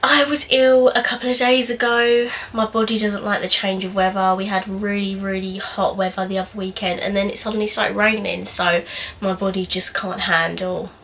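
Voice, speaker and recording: A woman's voice: medium pitch, flat delivery, moderate pace, a bit bright, recorded from a distance, slight background noise. A young woman with a slightly nasal voice, getting over a cold, chatting through a makeup review in her bedroom with lots of "um"s and restarts. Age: young adult. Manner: chatty, rambling, many "um"s and restarts. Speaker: beauty vlogger. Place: small bedroom indoors.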